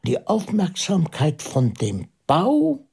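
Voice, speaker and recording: Husky, deliberate priest sleuth. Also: male voice, on the high side, wildly swooping pitch, exaggerated voice. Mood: pensive deduction. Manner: deliberate, probing, dropping into hushed asides. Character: shrewd, pensive priest sleuth reasoning out a murder. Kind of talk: German radio drama acting.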